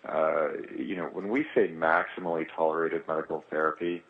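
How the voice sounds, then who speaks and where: A man speaking as a surgeon on a conference call, explaining his clinical experience in halting phrases with pauses. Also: extremely low pitch, fairly even intonation, narrow, tinny audio. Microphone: telephone. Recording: thin band-limited telephone conference line.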